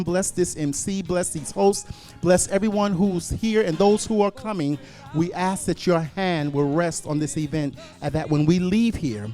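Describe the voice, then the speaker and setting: Man praying slowly over soft music. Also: high-pitched. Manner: slow, rhythmic prayer with repeated phrases. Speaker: pastor. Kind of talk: public prayer. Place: large event room.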